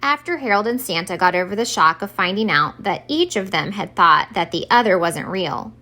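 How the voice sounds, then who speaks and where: A woman narrates a children's storybook for story time. Her voice is bright and her intonation is very animated, shaping each phrase expressively. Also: medium pitch, normal speed.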